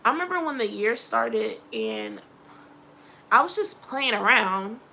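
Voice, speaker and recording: A young woman with a Philadelphia accent chats in a loose, rambling vlog. Her voice is bright and forceful, with a gravelly fry and a slightly high pitch. A faint hiss sits behind her. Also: wildly swooping pitch, unhurried. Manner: chatty, rambling, laughs often, faith talk. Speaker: vlogger. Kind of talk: casual personal vlog.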